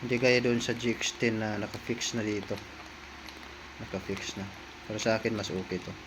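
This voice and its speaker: Low, flat-toned Filipino man, casual vlogger. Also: moderate pace, background noise. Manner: informal, repetitive, talking to viewers as he handles items. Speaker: vlogger, product reviewer. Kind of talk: casual unboxing and hands-on review.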